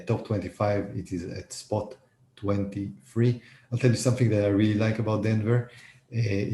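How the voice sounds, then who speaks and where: A man's voice: lowish voice, dark tone. A man, perhaps in his thirties, giving an unscripted presentation as host on a video call. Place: indoor room on a video call. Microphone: headset on video call. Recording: roomy video call with faint noise.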